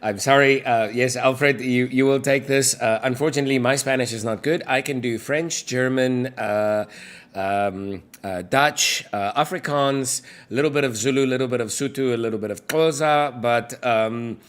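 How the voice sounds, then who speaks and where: A man's voice: medium pitch, normal speed. A man hosting a livestream Q&A, chatty and hesitant, with many fillers. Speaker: livestream co-host. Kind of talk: casual livestream Q&A.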